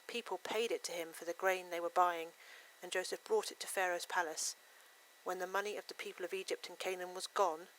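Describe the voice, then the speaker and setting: A woman serves as narrator for a scripted Bible story. Her voice sits a little low, and she reads aloud in clear, simple phrases. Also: fairly bright tone, slight background noise, poor audio.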